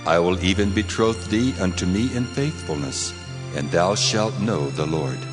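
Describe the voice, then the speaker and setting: An actor playing God in a dramatized scripture reading, solemn, measured and commanding yet tender. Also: male voice, on the low side, expressive, music in the background. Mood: solemn, tender promise.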